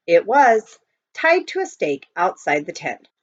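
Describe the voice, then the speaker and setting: A woman reading a children's novel aloud in an expressive storytelling style. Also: fairly low pitch, unhurried. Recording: dull lo-fi home recording.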